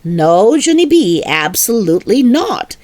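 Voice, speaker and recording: A woman's voice: on the high side, big pitch swings, unhurried, darkish tone, no room sound. A woman voicing a stern, exasperated mother in a children's storybook, scolding in a firm, emphatic, commanding way. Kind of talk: animated children's storybook reading.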